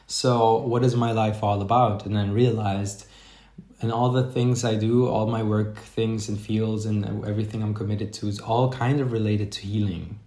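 Low-voiced man in a roomy space. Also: little pitch movement, moderate pace, warm tone. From Germany. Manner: reflective, rambling with many fillers and restarts. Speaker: podcast guest, life coach. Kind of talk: casual interview chat.

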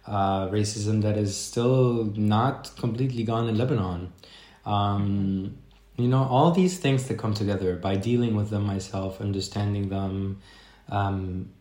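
A man from Germany talks through his answers slowly, doubling back and filling pauses as he thinks. His voice sits low. The sound carries a roomy echo. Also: mellow. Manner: reflective, rambling with many fillers and restarts. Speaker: podcast guest, life coach. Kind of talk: casual interview chat.

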